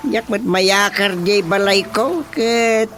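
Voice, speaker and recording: A man's voice: high-pitched, takes it slowly, pushed and bright, soft background music, heavily acted. A man with a Filipino accent playing a folksy, easily muddled village chairman in a comedic radio drama. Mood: bemused.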